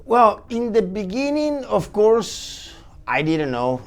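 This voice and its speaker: A man in his forties from Venezuela with a Venezuelan Spanish accent, a chef telling his story as a podcast guest in a lively, energetic way. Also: slow pace. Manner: animated, energetic storytelling with swings in pitch. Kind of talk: casual podcast interview.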